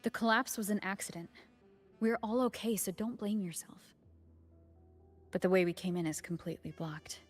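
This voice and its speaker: A woman voicing a calm, caring and cautious young woman in a video game. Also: medium pitch, moderate pace, pauses often, soft background music, close to an everyday voice. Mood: reassuring. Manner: gentle, sensible.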